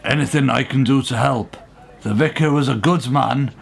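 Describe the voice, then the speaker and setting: A man's voice: medium pitch, normal speed, gravelly. A man playing a polite, subdued witness in a radio play, speaking hesitantly.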